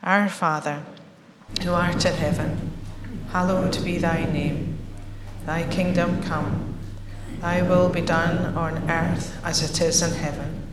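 A woman minister with a slightly croaky voice, leading a slow, measured prayer and then chatting playfully with children as she hands out water. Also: fairly low pitch, flat delivery, heavy background noise. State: croaky, recovering voice. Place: church sanctuary. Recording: echoey church sanctuary.

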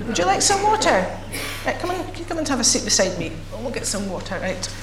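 A woman minister with a slightly croaky voice, leading a slow, measured prayer and then chatting playfully with children as she hands out water. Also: fairly high pitch, big pitch swings, a little hiss or hum. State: croaky, recovering voice. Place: church sanctuary. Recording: echoey church sanctuary.

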